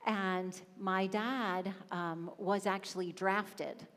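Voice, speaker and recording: A woman's voice: mid-range voice, fairly even intonation, extremely slow, fairly bright tone. A woman giving a conversational sermon as a spiritual practitioner, telling family stories. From Swedish and Lithuanian family. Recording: hall reverb.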